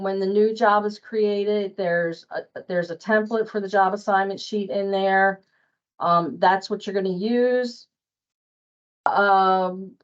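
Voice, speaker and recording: A woman with a mid-pitched voice gives an informal workplace walkthrough. She speaks hesitantly, like a presenter feeling her way through the steps. The sound is muffled and band-limited, as on an online meeting. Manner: hesitant, checks with colleague for confirmation. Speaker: training presenter.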